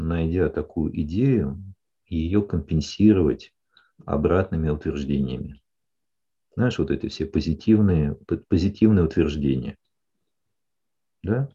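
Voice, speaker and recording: A male psychologist calmly explaining ideas to a client in a session, often checking in with short questions. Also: rumbling low, husky, muffled recording. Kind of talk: counseling session.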